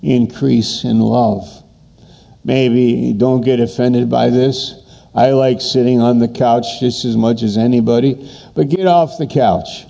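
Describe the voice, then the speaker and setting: Male pastor, dark mellow tone, unhurried emphatic sermon delivery. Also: mid-range voice, gravelly. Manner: repetitive, emphatic preaching with pauses.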